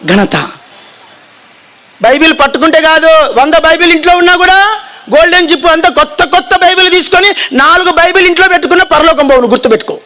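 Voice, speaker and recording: A man preaching a fiery sermon, shouting and having the congregation repeat his lines. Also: very high-pitched.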